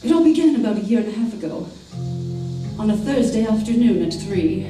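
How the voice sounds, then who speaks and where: A woman's voice: medium pitch, expressive, brisk, dark tone, close to an everyday voice. A woman acting a tough, troubled woman confiding her crime in an old-time radio play on a stage. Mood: grave, confessional. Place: indoor theater stage. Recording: roomy stage, distant microphone, faint music.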